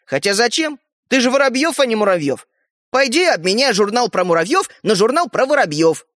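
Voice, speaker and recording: A man's voice: sits high, very animated, moderate pace, a bit bright, no room sound, studio quality, voice pushed up, exaggerated voice. Man voicing a brash, cheeky little boy. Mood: mocking. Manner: brash, exclaiming. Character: pushy, excitable, cheeky young brother.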